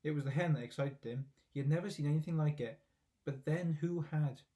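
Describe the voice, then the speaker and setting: A man's voice: on the high side, a little fast, warm tone. A man narrating a children's story expressively, as a reader reading aloud from a book.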